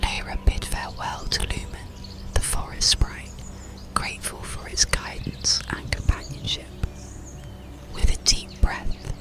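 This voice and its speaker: Very slow, whispering bedtime story narrator. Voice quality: breathy whisper. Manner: calm, unhurried, soothing. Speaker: audiobook narrator.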